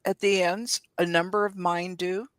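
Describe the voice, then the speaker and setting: A woman's voice: on the low side, level tone, normal speed, clean tone, no room sound. A woman of about seventy chatting casually and colloquially as co-host of a live stream.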